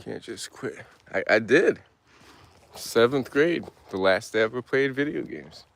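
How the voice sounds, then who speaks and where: A young man from Turkey casually chatting with his live-stream audience while walking in the woods, relaxed and laid-back, answering comments aloud with halting restarts. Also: mid-range voice, creaky. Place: outdoors in woods.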